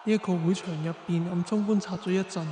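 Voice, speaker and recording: Man acting a high-voiced, solemn young avenger. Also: steady tone, fast talker, warm tone, a little hiss or hum, close to an everyday voice. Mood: grave, tense. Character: vengeful young man, solemn and brooding hero. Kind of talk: first-person dramatic narration in Chinese radio drama. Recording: roomy long reverb.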